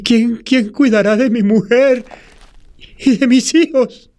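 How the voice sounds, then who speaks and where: Fearful reluctant son, raised pitch, slow halting speech, audio drama, music behind. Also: male voice, fairly even intonation, dark tone. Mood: terrified, reluctant. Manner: hesitant, fearful, repeats words. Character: terrified, reluctant adult son of condemned peasant.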